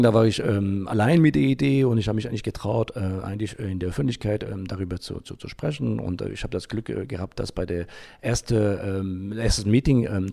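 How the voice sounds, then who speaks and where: A man's voice: lowish voice, gravelly, dark tone. A man giving unscripted interview answers in speech, often hesitating as he searches for words. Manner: halting, searching for words with frequent long hesitations. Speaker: interviewee, founder of an environmental association.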